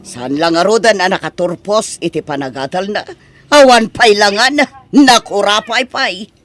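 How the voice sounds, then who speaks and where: A woman with an Ilocano accent playing a sharp-tongued, disapproving middle-aged mother-in-law in an audio drama, scolding and emphatic. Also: mid-range voice, measured pace, dry recording, natural voice.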